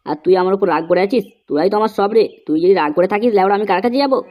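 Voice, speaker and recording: A woman voicing an excitable, crude young male slacker in a comedy cartoon. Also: medium pitch, rapid-fire, lo-fi sound, soft background music, lightly acted. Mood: pleading. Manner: crude, slangy.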